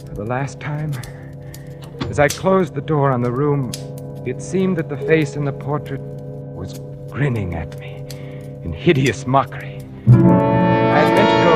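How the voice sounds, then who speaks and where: An actor playing a weary, tormented painter who narrates and speaks in a 1940s radio drama. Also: male voice, medium pitch, close to an everyday voice. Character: weary, tormented male painter confessing to murder. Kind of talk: 1940s radio acting, first-person narration and dialogue. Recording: old radio drama recording.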